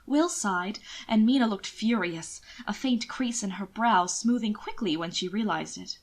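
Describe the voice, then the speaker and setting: A woman's voice: medium pitch, unhurried. A woman narrator giving a scripted audiobook reading of prose fiction.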